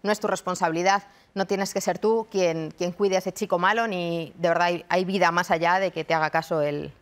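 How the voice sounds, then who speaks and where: A woman in her thirties from Madrid speaks in a fairly low voice, choosing her words with care. She restarts phrases as she thinks, in a calm, reflective interview tone. It sounds like a TV studio. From Madrid, Spain. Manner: thoughtful, measured, frequent restarts. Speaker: interview guest, university researcher and lecturer. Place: television studio.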